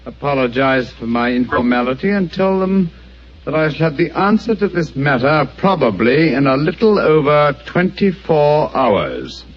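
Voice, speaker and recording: An actor playing a calm, brilliant male detective in an old radio drama, crisp and assured. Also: a little high, normal speed. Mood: cool. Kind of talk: 1940s radio acting. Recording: dull lo-fi old radio recording.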